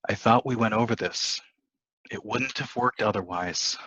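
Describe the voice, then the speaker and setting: An actor playing a scheming, defensive husband in a dramatic stage play reading, dismissive and insistent, on a muffled microphone. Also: male voice, on the low side, normal speed, a bit bright, slightly rough, no room sound. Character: scheming, defensive husband poisoning his wife.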